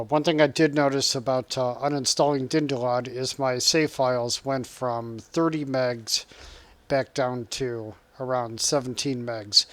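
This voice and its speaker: Male streamer, relaxed running chat with viewers over gameplay, mid pitch. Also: no echo. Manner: chatty, reacts to chat and game, laughs often. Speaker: game streamer.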